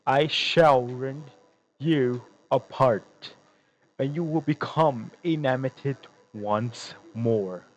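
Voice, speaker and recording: A man's voice: a little high, poor audio. A man voicing a proud, wrathful angel from a video game, declaiming in a grand, solemn, commanding way over a buzzing recording. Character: proud, wrathful male angel, righteous hand of God. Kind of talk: theatrical video game villain acting. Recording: buzzing noise.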